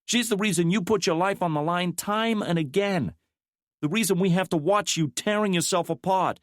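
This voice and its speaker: A tough, sharp-tongued woman agent who presses hard with pointed questions, put on by a male narrator. The voice sits far higher than his own and rises further when she pushes. The sound is dry and close. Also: moderate pace, no room sound, lightly acted. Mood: confrontational. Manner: pointed, challenging, exasperated.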